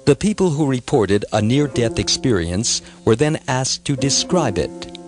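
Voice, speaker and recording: A man reading a scripted audiobook narration in a measured, reverent, formal manner. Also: mid-range voice, lively intonation. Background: music.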